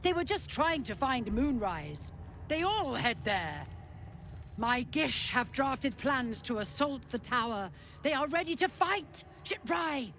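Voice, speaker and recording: An actress playing a haughty, menacing alien warrior commander in a fantasy video game, speaking in a clipped, formal, commanding manner. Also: female voice, high-pitched, sing-song, slow pace, a bit bright, a little hiss or hum, heavily acted. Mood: pleading, tense.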